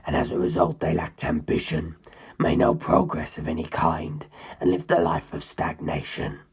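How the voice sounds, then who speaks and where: A man voicing an ancient, menacing inhuman being in a dramatic story, gravelly and breathy, with grandiose, condescending speech. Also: completely flat delivery, normal speed, heavily acted. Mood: musing, superior. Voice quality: very deep, gravelly, husky, breathy. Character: ancient, menacing, superior male non-human predator musing on humans. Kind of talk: dramatic audiobook character reading.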